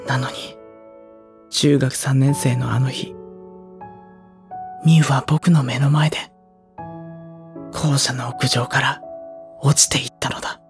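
A woman voicing a gentle, introspective, anguished teenage schoolboy in a Japanese audio drama, speaking softly and hesitantly as he narrates. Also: very deep voice, steady tone, slow pace, long pauses, music in the background, exaggerated voice. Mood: sorrowful, brooding. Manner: soft-spoken, hesitant, brooding. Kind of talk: Japanese audio drama acting with first-person monologue.

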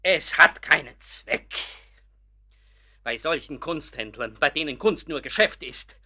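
Agitated, sharp-voiced amateur painter, wounded and bitter. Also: male voice, fairly high pitch, animated, unhurried, exaggerated voice. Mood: dejected, resigned. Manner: agitated, repeats words bitterly, stammering outbursts. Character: aggrieved amateur painter, indignant, wounded, sinking into self-doubt. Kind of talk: German radio play acting.

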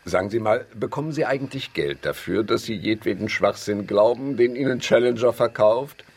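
An actor playing a sceptical professor in a radio drama, mocking and querulous in manner. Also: male voice, lowish voice, normal speed, breathy edge. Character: sardonic, grumbling, sceptical male professor. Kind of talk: German radio drama acting.

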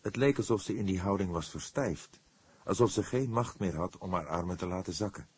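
Deep, husky male narrator. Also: fairly quick, a little mellow. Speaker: audiobook narrator. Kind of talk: dramatic scripted narration of a detective novel.

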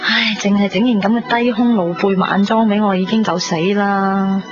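A woman's voice: mid-range voice, fairly even intonation, normal speed, lightly acted. Woman acting a dramatic, bubbly young Hong Kong woman. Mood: flustered, panicky. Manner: chatty, dramatic, many exclamations and particles. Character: bubbly, vain young woman fretting over party outfit. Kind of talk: 1990s radio drama acting. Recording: muffled 1990s radio broadcast under music.

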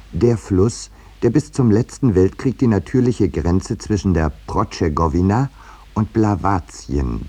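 A deep-voiced middle-aged painter narrates a 1950s radio play. His voice is husky, and he speaks in a measured, slightly pompous and ironic way, like a man of the world looking back. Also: darkish tone, full character voice. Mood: dry irony. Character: landscape painter Eduard Merlin, urbane wry storyteller, looking back.

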